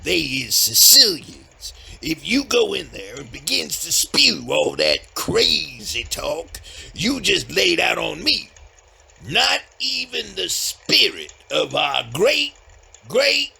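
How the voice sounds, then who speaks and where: A man playing a bossy, dim-witted Southern backwoods older brother in an audio comedy, with a folksy drawl and a raspy, strained voice. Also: high-pitched, big pitch swings, slow pace, exaggerated voice. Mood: menacing, teasing. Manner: folksy, loud, scolding, ungrammatical drawl. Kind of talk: audio comedy-horror acting.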